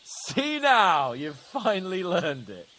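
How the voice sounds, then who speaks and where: A boastful young knight from a game cutscene, his fairly high voice leaping about as he jests. Lordly and quick-witted, he talks to comrades with easy swagger. Faint noise hums behind him. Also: sing-song, sharp tone, full character voice. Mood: bantering. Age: young adult. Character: cocky young male knight, joking and boastful. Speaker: young nobleman. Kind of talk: video game cutscene acting.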